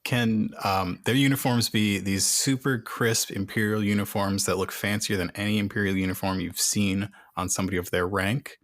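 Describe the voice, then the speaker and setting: A man's voice: on the low side, steady tone, normal speed, hardly any pauses, a bit bright, dry recording. A man playing a tabletop role-playing game, chatting casually as he describes what his character does.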